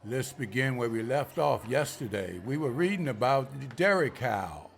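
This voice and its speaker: An actor playing a schoolteacher giving orderly classroom instructions at a table read, in a gravelly voice. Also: male voice, medium pitch, lively intonation, moderate pace, slight background noise. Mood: instructing.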